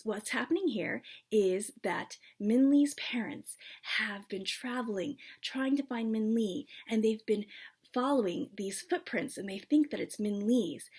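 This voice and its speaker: Female storybook reader, husky and creaky, expressive delivery with soft phrase endings. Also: medium pitch. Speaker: author reading own book. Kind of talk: storybook read-aloud.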